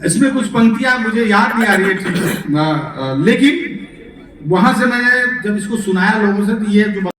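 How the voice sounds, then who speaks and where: A man's voice: sits high, moderate pace, a bit bright. A man with a Bihari accent, a stage poet who mixes mocking stories with chanted verse. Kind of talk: comic storytelling and poetry recitation. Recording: echoey hall, voice from far off.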